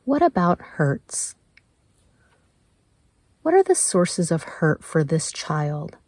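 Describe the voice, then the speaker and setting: A woman leading a guided meditation in a gentle, measured way, leaving pauses between her prompts. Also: low voice, big pitch swings, dry recording. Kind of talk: slow guided meditation narration.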